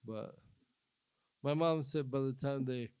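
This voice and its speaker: A man's voice: mid-range voice, darkish tone, smooth, no echo. Joking man in dry studio. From Minnesota. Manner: joking, self-mocking, halting. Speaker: comedian and podcast host. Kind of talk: casual podcast banter.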